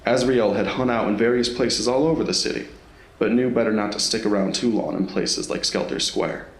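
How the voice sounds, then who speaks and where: Male narrator for a scripted audio drama, lower register, roomy echoing recording. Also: a little fast.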